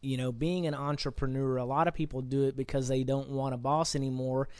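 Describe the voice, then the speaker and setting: A man's voice: mid-range voice, level tone, a little fast, darkish tone, clean tone, no room sound. A man hosting a podcast interview, talking casually and rambling, often repeating words as he thinks. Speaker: podcast host.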